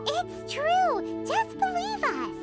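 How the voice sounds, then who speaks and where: A woman voicing a tiny, cheery, chatty sprite companion in a video game, with a squeaky childlike voice and a perky, pushy manner. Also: huge pitch swings, takes it slowly, exaggerated voice. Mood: eager. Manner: perky, chirpy. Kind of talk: English game dub acting. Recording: music behind the voice.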